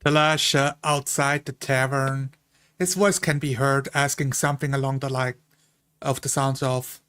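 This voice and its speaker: A man's voice: mid-range voice, level tone, normal speed, fairly bright tone, smooth. A man casually narrating his character's part as a tabletop game player.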